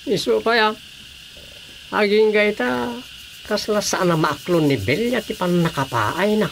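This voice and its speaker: A woman's voice: medium pitch, lively intonation, measured pace, gravelly, slight background noise. A woman with a Filipino accent playing a practical village councillor who settles neighbours' quarrels in a radio drama. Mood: firm, conversational.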